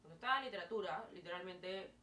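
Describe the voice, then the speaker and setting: A young woman with a Peruvian accent talks to the camera from her bedroom, chatty and full of fillers as she gestures. Her voice sits a little low for a woman. It is picked up from a few feet away, so the room's echo is clear and a faint hiss runs under it. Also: fast. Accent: Peruvian Spanish. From Peru. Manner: chatty, animated hand gestures, frequent fillers. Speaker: vlogger. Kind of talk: casual storytelling to camera. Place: small bedroom, indoors. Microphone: camera mic at a distance. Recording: roomy, distant, faint hiss.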